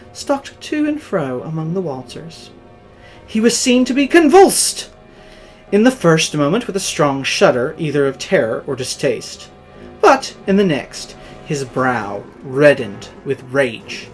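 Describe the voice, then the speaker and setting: Theatrical male horror narrator, very animated pitch. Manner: theatrical, measured phrasing with strong swells and drops in volume. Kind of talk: dramatic scripted Gothic horror narration. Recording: music under the voice.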